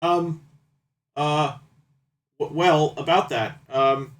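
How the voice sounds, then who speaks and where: A man's voice: a little high, fairly even intonation, extremely slow. A man hosting a casual game livestream, chatting and bantering playfully with viewers as he reads out choices. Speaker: livestream host reading out game and chat choices. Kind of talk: casual stream chat and commentary on a visual novel.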